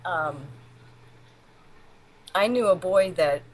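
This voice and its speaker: A woman's voice: fairly low pitch, expressive, extremely slow. A middle-aged woman as an interview guest, talking casually and bluntly in a matter-of-fact way. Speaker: interview guest, palm reader. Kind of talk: casual interview, personal storytelling.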